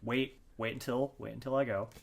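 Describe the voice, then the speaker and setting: A man's voice: mid-range voice, fairly even intonation, brisk, gravelly. A man playing a tabletop role-playing game, chatting casually and thinking aloud in a hesitant way.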